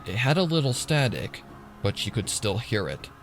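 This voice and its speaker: A man's voice: on the low side, sing-song, normal speed. A man reading a scripted story as a dramatic narrator. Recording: narration over music and sirens.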